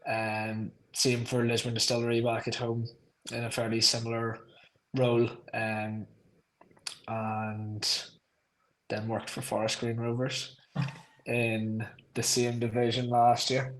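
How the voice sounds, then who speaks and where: A man's voice: medium pitch, completely flat delivery, slow pace, a little hiss or hum, rough recording. A young man with a Northern Irish accent, a podcast guest talking in an easygoing, rambling way with frequent ums. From Northern Ireland. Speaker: guest, club marketing staff. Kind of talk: casual podcast interview.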